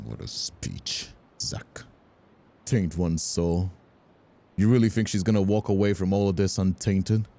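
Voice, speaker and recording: A man's voice: low voice, fairly even intonation, unhurried, mellow. A man acting as a cold-blooded, taunting crime villain in an audio roleplay, mocking and menacing in manner. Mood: sneering contempt.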